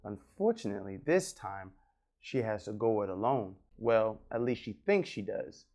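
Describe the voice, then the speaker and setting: A man's voice: fairly low pitch, lively intonation, normal speed, darkish tone. A man hosting a read-aloud for children, narrating expressively and speaking directly to his young listeners.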